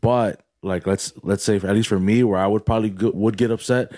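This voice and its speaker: A man's voice: lowish voice, fairly even intonation, fairly quick, dark tone, no echo, clean studio sound. A man co-hosting a casual group chat, talking in a laid-back, noncommittal way.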